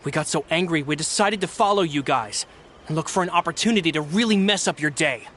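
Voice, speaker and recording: A man's voice: on the high side, a little fast, fairly bright tone, a little hiss or hum, natural voice. A man voicing a sulky, grudging street thug. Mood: resentful. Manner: resentful, grudging. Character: sulky male thug, sore loser. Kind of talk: game voice acting.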